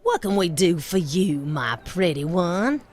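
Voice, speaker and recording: A woman's voice: fairly low pitch, animated, measured pace, a little mellow. A woman playing a folksy, familiar dockside madam in a video game, with drawling, colloquial speech. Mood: coaxing, familiar. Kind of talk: video game cutscene acting.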